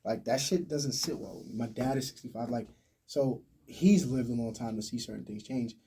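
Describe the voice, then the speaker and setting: A man's voice: medium pitch, normal speed, mellow, slight background noise. An American man in his twenties talking casually and emphatically in slang on a podcast, picked up slightly from a distance. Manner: talkative, emphatic, slang-heavy.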